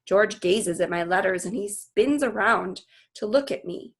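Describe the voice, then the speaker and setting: A woman reading a children's novel aloud as narrator, with expressive storytelling delivery. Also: medium pitch, unhurried, slightly rough. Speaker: storybook reader.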